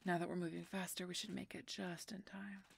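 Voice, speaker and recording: A woman's voice: fairly low pitch, flat delivery, fairly quick, vocal fry, breathy edge, exaggerated voice. A woman playing a guarded, brooding female werewolf knight in a fantasy audio roleplay, terse and often murmuring in a whisper. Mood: irritated.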